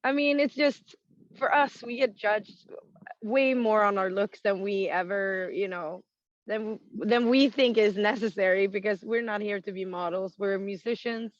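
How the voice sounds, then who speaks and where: A woman from Sweden, a rock singer with a naturally raspy voice, now extra hoarse from touring, talking frankly in a casual interview with frequent laughs and restarts. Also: medium pitch, a little slow, dry recording, muffled recording. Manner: frank, laughs often, many fillers and restarts.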